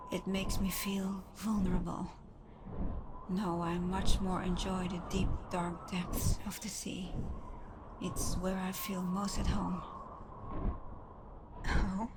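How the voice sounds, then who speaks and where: A woman voicing a proud, guarded but softening female water dragon in an ASMR roleplay, speaking softly and teasingly with breathy murmurs. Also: lowish voice. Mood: candid, guarded. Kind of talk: ASMR roleplay, scripted character monologue. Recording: clear background ambience noise.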